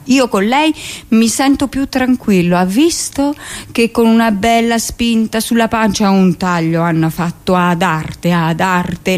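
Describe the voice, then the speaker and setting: A woman plays an elderly male doctor in a radio drama. He is pompous and paternal, with cold old-fashioned authority. He lectures insistently, stretching his key words slowly. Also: fairly low pitch. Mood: fawning, paternal. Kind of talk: theatrical radio monologue.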